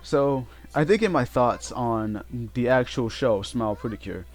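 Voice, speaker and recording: A man giving casual, unscripted game commentary in a halting way, often repeating and correcting himself as he goes. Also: medium pitch, expressive. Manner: halting, repetitive, self-correcting. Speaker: let's play host. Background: game music.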